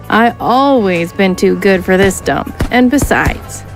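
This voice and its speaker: Tough female alien smuggler, played by a woman; brash, slangy, mid-pitched, over faint music. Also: lively intonation, slow.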